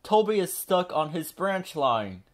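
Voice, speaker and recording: A man's voice: sits high, sing-song, a little slow, voice pushed up. A man voicing a stern, commanding railway boss in a children's storybook. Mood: urgent.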